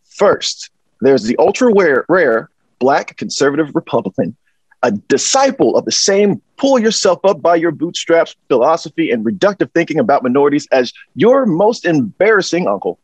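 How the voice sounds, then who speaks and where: A man performs a slick, upbeat pitchman in a satirical script. His voice is fairly high for a man and very lively, with a husky edge. The sound is close and dry. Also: moderate pace, no echo, poor audio. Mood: upbeat, persuasive. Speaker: actor in a table read. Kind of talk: theatrical sales pitch. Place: small indoor storeroom, video call.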